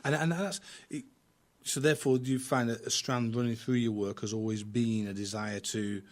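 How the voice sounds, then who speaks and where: A man's voice: mid-range voice, steady tone, moderate pace. A man interviewing on radio in a conversational way, with frequent affirming interjections and loosely built questions.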